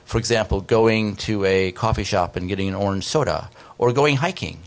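Man, fairly low pitch, emphatic explaining, panel talk, conference room. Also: moderate pace. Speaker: researcher on a panel. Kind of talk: panel discussion. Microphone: desk microphone on stand.

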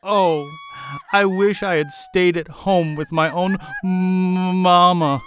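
A man's voice: sits high, steady tone, takes it slowly, fairly bright tone, a little hiss or hum, voice pushed up. A man voicing a young, sick dragon queen who pleads through tears in a storybook reading. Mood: tearful. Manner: whimpering, tearful pleading.

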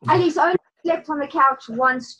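A woman's voice: sits high, normal speed, sharp tone, muffled recording. A woman with a South African accent, a podcast guest chatting casually on a video call from home, drawing out her words for emphasis.

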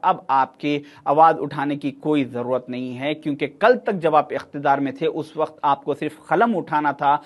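Middle-aged man, raised pitch, pointed and argumentative studio commentator. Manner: emphatic, sarcastic, argumentative. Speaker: political commentator. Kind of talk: political commentary to camera.

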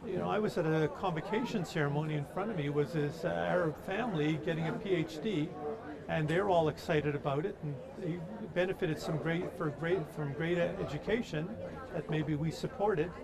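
A man's voice: a little high, a little fast, gravelly. An older man in his sixties, a guest outdoors at night, making an earnest, persuasive case with some hesitation. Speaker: interview guest, community supporter. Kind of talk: casual chat. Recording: outdoor crowd noise.

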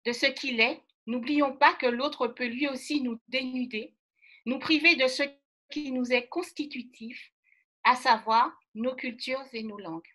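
High-voiced woman giving a formal talk. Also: fairly bright tone. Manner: measured, formal, rhetorical phrasing. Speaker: guest speaker. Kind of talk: formal prepared speech. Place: indoor room by a bright window. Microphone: webcam on a video call.

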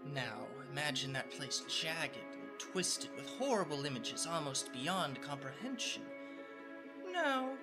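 A man's voice: fairly high pitch, big pitch swings, measured pace. A man narrating a scripted story in a storybook style. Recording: loud background music.

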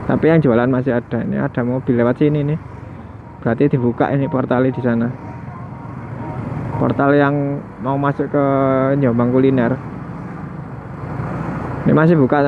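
A man's voice: mid-range voice, level tone, normal speed, long pauses. Man vlogging from his motorbike. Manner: chatty, muses aloud, chuckles now and then. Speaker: motovlogger. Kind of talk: casual riding commentary. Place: on a motorbike on night city streets. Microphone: headset mic worn while riding. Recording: tinny helmet mic with loud road noise.

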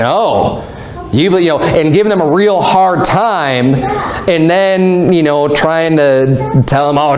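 A man's voice: on the high side, a little mellow. An American man in his thirties preaching as a pastor, explaining scripture in an informal, conversational way with repeated phrases. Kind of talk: sermon, conversational Bible teaching. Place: small indoor room with block walls, at a lectern. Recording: echoey room, mic at a distance.

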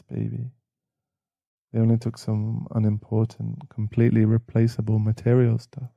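A man playing a caring, protective boyfriend in an intimate ASMR roleplay, speaking softly and soothingly with halting pauses. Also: fairly low pitch, vocal fry, dry recording, phone-quality sound. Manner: soft, soothing, halting, sighing. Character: caring, protective, shaken boyfriend comforting a partner. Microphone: close mic.

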